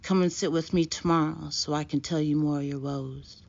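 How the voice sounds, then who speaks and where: A woman voicing a blind male street fortune-teller in a poem, calm, solemn and oracular. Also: deep voice, normal speed, vocal fry. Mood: solemn foretelling. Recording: dull lo-fi recording.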